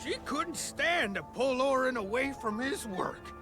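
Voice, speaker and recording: A man's voice: very high-pitched, lively intonation, unhurried. A man voicing a folksy, easygoing villager storyteller in a video game cutscene, with a drawl. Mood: folksy explaining. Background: background music.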